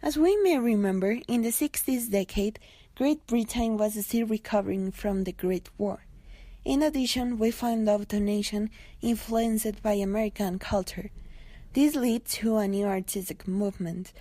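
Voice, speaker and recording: A woman's voice: mid-range voice, moderate pace, warm tone, dry recording. A woman reading scripted documentary narration for a newsreel.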